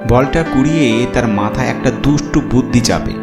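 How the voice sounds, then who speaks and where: A man's voice: medium pitch, normal speed. A man narrating a scripted horror cartoon story as its storyteller. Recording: roomy echo, clear music behind. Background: background music.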